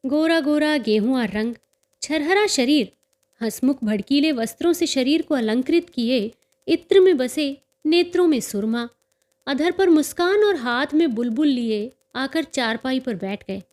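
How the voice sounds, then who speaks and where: A woman's voice: sits high, expressive, a little slow, a little mellow. A woman narrating a scripted story as an audiobook storyteller.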